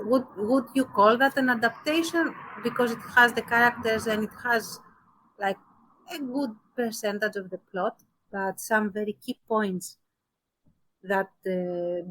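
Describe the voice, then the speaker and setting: A woman's voice: medium pitch, unhurried, a bit bright, rough recording. A woman co-hosting a podcast, giving her opinions in a casual discussion and thinking aloud as she qualifies her points. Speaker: podcast co-host.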